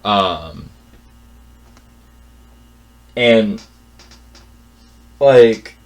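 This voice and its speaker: A man's voice: on the low side, extremely slow, low-quality recording. Exasperated young man ranting a story. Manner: exasperated, repetitive, swings from mumbling to loud. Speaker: vlogger telling a personal story. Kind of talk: casual storytelling rant.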